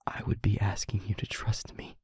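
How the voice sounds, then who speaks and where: A man playing a guilt-ridden demon lover in an audio roleplay, confessing tenderly and in anguish in a halting, breathy, husky hush. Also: dry recording, clean studio sound, lightly acted. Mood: anguished, confessional. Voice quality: breathy, husky, often whispering. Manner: halting, hushed, dramatic pauses. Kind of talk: intimate audio roleplay monologue.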